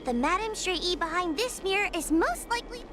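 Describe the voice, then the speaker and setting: Very high, timid young girl. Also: lively intonation, moderate pace, over music. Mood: nervous, hesitant. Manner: hesitant, stammering. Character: timid, anxious young girl spirit-hunter. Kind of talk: video game character acting.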